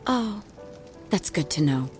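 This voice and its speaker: Sardonic low-voiced ex-wife, music behind. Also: female voice, animated, takes it slowly, a little mellow. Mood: bitter, angry. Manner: biting, sardonic retorts. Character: sharp-tongued, wounded ex-wife, sarcastic and guarded. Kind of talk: radio drama acting.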